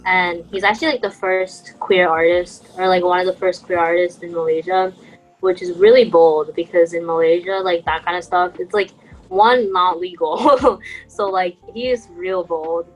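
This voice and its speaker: A woman's voice: lowish voice, fairly even intonation, normal speed, faint music behind, poor audio. A woman co-hosting a podcast, explaining things in casual, conversational talk. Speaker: podcast co-host.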